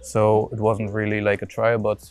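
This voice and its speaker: Young man, flat voice, hesitant. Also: lowish voice, normal speed, clean tone. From Germany. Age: young adult. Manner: hesitant, lots of fillers and restarts. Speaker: interviewee, young footballer. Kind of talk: casual interview answers.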